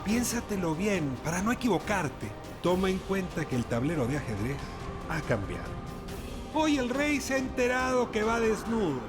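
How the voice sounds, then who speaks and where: A man reading a scripted show introduction in a deliberate, theatrical manner. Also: sits high, very animated. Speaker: show narrator. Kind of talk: scripted, aphoristic intro narration. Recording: loud music under narration. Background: music.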